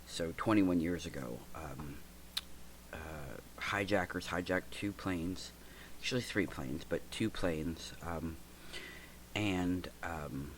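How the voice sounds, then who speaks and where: A man in his forties from the United States, hosting a reflective personal talk, stuffy and congested, speaking haltingly with many fillers and restarts. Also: sits low, slight background noise. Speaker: podcast host.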